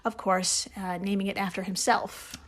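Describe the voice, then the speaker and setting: A woman's voice: medium pitch, little pitch movement, normal speed. A woman hosting a podcast, telling stories casually to a co-host in an irreverent, jokey way with loose, profane language. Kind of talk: casual comedic storytelling to a co-host.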